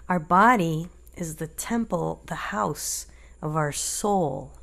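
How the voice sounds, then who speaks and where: A woman leading a guided meditation in a gentle, deliberate manner, leaving long pauses between short phrases. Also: low-pitched, creaky.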